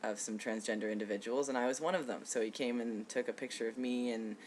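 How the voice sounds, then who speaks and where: A man in his thirties giving a casual personal video update, relaxed and reflective, with frequent fillers and soft laughs. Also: medium pitch, fast talker, slight background noise. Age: 30s. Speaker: vlogger.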